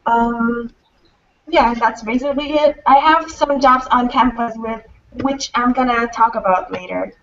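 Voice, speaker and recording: A woman's voice: fairly high pitch, unhurried, highs cut off. Young woman from Thailand, far from mic. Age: young adult. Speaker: student panelist. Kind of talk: casual self-introduction.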